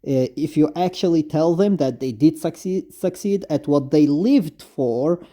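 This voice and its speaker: A man who is not a native English speaker gives unscripted, gushing video game fan commentary, halting and often repeating himself. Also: fairly high pitch, warm tone. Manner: effusive, halting, repetitive. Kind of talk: unscripted fan commentary, reading lines aloud.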